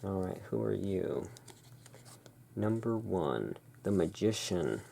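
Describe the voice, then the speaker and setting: A person playing the soft-spoken, musing owner of a gothic curiosity emporium in a halting, hushed ASMR roleplay. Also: deep voice, lively intonation, gravelly, slight background noise, exaggerated voice. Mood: musing, relaxed.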